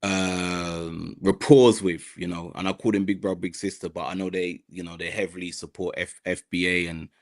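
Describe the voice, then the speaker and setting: A man's voice: deep voice, level tone, normal speed, few pauses. A man with a London accent, a guest on an interview podcast, talking in a hesitant way with many fillers and restarts. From South London, Nigerian heritage. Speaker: guest, mental health advocate.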